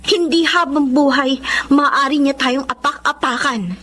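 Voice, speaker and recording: An actress playing a proud, wounded young daughter with declamatory emotion in a Tagalog radio drama. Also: female voice, high voice, measured pace, a bit bright. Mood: defiant, bitter.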